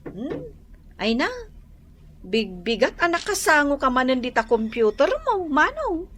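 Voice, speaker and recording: A woman's voice: high-pitched, big pitch swings, unhurried, fairly bright tone, exaggerated voice. A woman acting a young, teasing, bickering sister in an Ilocano comedy radio drama, with an Ilocano accent. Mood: teasing, playful.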